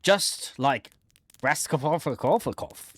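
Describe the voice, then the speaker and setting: A man narrates a game script in a slow, theatrical way with a mid-range voice. He is playful about it and drops in dry asides. The recording is close, with hardly any room sound. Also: medium pitch, expressive, slightly rough, dry recording. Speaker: gaming channel host. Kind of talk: dramatic read-aloud of a game script with joking asides.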